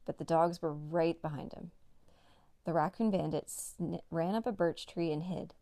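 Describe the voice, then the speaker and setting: A woman's voice: fairly low pitch, fairly even intonation, normal speed, mellow, dry recording. A woman narrating a children's read-along story as a storyteller.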